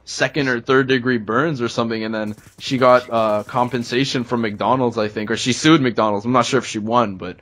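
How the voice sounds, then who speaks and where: A man's voice: mid-range voice, fairly even intonation, fairly quick, few pauses, fairly bright tone. Young man hosting a casual chat. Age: young adult. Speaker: host of a commentary video series.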